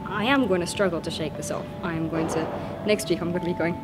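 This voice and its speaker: A woman's voice: fairly low pitch, fairly even intonation, fast talker. A young woman from Luxembourg answering interview questions about a student simulation, earnest and thoughtful. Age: young adult. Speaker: simulation participant. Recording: music playing underneath.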